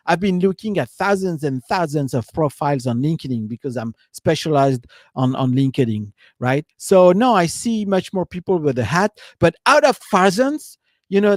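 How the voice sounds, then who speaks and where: A man's voice: on the high side, dry recording. A man with a French accent, giving a loose, rambling live-stream talk as a business coach, leaning on "you know" and "right?". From France.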